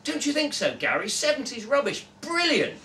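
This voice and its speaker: A man's voice: very high voice, very animated, normal speed, sharp tone, a little hiss or hum, voice pushed up, full character voice. A man voicing an earnest, enthusiastic male character in a live story reading, eager and emphatic. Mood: delighted. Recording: roomy echo, distant camera mic.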